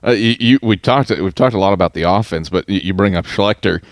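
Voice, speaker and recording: Low-voiced male podcast host. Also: fairly quick, no echo. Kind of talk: casual conversational interviewing.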